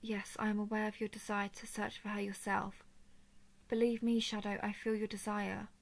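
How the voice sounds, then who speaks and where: A woman voicing a gentle, protective female dragon in a scripted roleplay audio drama, soft and soothing with formal, measured diction. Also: medium pitch, little pitch movement, creaky, natural voice. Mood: earnest reassurance. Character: gentle, protective female dragon, earnest empathic royal daughter.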